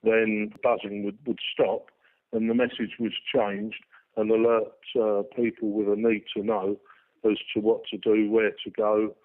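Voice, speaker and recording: A man giving a spontaneous interview explanation, hesitant and halting with many filled pauses, over a tinny phone-like line. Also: fairly low pitch, flat delivery. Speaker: radio enthusiast interviewee.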